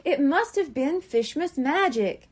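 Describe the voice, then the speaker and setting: A woman's voice: sits high, animated, unhurried, pitched above the performer's own voice. A woman voicing a spirited, emphatic young mer-kitten girl, an upbeat friend in a storybook.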